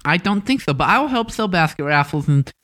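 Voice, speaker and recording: A male co-host with a playful, boastful streak. His voice sits slightly high and swings up and down a lot. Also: big pitch swings, brisk, sharp tone. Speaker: student podcast co-host. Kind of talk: casual chat.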